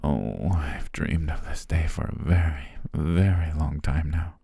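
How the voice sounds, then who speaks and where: Male actor as devoted butler captor, very low gravelly voice, breathy near-whisper, coaxing, softly menacing, ASMR roleplay. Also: dry recording, studio quality, heavily acted. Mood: dreamy devoted. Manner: tender, measured, coaxing, lingering pauses. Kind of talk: intimate ASMR roleplay, slow whispery romance acting. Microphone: close, dry studio microphone.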